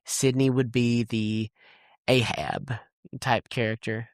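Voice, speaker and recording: A man's voice: mid-range voice, level tone, slow pace, no echo, clean studio sound. Rambling, blunt man podcasting. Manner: rambling, blunt, swears freely. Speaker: podcast co-host. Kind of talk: casual movie chat.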